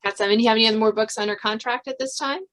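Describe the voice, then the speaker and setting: A woman's voice: a little high, fairly even intonation, racing, a bit bright. A woman hosting an interview podcast in a casual, chatty way, thinking aloud as she talks. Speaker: podcast host interviewing a novelist. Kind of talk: casual chat.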